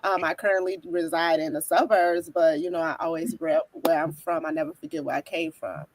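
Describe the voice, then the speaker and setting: A woman from Chicago, a guest speaker on a talk show, greeting people in a friendly, relaxed way over a video call. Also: deep voice, fairly quick, no room sound. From South Side of Chicago, USA. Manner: friendly, relaxed, some ums. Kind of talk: casual talk show chat.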